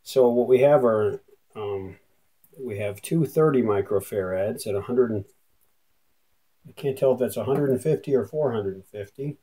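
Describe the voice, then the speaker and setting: Folksy man with a slightly husky voice. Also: mid-range voice, normal speed, mellow. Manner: folksy, easygoing, thinking aloud. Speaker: host of a radio repair channel. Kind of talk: casual hands-on repair walkthrough. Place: indoor workshop at a bench.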